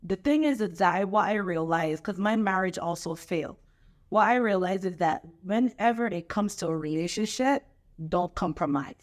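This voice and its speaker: Animated woman pastor giving advice. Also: mid-range voice, moderate pace. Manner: lively, coaching, repeats phrases for emphasis; preacherly scripture quoting. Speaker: pastor and show host. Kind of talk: casual advice chat.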